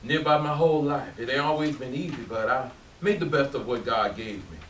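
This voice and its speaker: A man's voice: medium pitch, fairly quick, fairly bright tone, lightly acted. Salty older man played by an actor, echoey. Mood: weary, chatty. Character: weary older working man, salty, grumbling, hard-drinking friend. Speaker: actor in a readers theatre play. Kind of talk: staged play reading. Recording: hissy, echoey stage pickup from far off.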